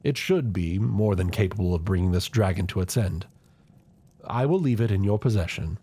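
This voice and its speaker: A man playing a grave, scheming royal chancellor in an ASMR fantasy roleplay, speaking in a formal, measured, courteous way. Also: low voice, expressive, mellow, slightly rough, exaggerated voice. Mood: grave, urging. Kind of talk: ASMR roleplay audio drama.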